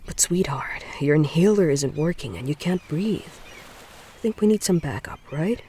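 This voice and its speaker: A caring young boyfriend acted out by a man speaks slowly in a slightly high voice over noticeable background ambience. His delivery is intimate and reassuring, often dropping to a breathy hush, in the manner of a comfort audio roleplay. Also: lively intonation, slight background noise. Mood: anxious, soothing.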